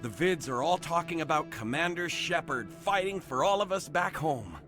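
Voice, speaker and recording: An actor playing a gushing, starstruck fan in a video game, excitable and full of admiration. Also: male voice, on the high side, moderate pace, faint music behind. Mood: awestruck. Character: gushing, starstruck male fan of a war hero. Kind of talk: video game dialogue acting.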